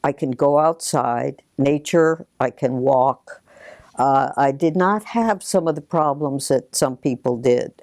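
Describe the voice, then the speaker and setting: An older woman speaks in a low register at a slow, unhurried pace. She gives a reflective, personal account, recorded close and dry. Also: a little mellow, no room sound. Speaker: interviewee.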